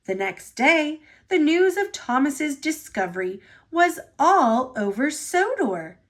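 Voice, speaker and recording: A woman reading a children's storybook aloud as narrator, with expressive delivery. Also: high voice, takes it slowly. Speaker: storybook reader.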